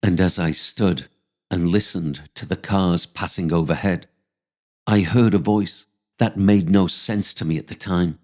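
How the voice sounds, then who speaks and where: Low, mellow male storyteller. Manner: measured, literary storytelling. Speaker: author narrating his own story. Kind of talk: scripted first-person narration.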